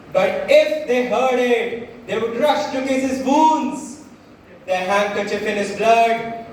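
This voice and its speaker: A young man playing a grieving, slyly persuasive Roman orator in a school stage play, declaiming with emphatic pauses. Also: high voice. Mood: grieving, rousing. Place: school stage, recorded from audience distance. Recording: echoey hall, distant mic, noisy.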